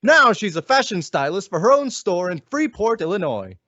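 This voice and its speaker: A man's voice: high voice, sing-song, normal speed, bright, forceful tone, dry recording. A man reading a scripted old-time radio commercial as announcer, with a polished sales delivery. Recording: dull lo-fi radio-style recording.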